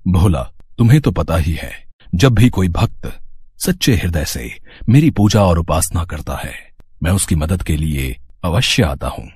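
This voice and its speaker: A man playing a bold, protective god in human guise, firm, righteous and reassuring, in an animated moral story. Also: deep voice, measured pace, breathy edge, dry recording, heavily acted. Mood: benevolent.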